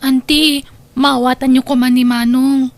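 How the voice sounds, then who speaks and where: Woman acting high-voiced young Ilocano student sister. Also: flat delivery, unhurried, no room sound. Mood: defensive. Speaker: voice actor. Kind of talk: Ilocano radio-style drama.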